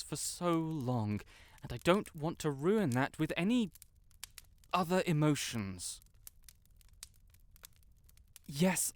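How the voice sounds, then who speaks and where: A man playing a proud, flustered young wizard in an intimate fantasy audio roleplay, halting and defensive yet earnest. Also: on the high side. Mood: hesitant, earnest. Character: proud, stuck-up young male wizard, flustered tsundere best friend in love.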